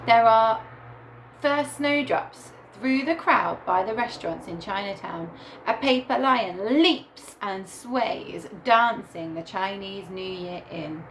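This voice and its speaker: Unhurried woman reading a children's story. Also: mid-range voice, slight background noise, low-quality recording. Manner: deliberate, animated storytelling with emphasised words. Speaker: primary school teacher reading to her class. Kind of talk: expressive children's picture book read-aloud.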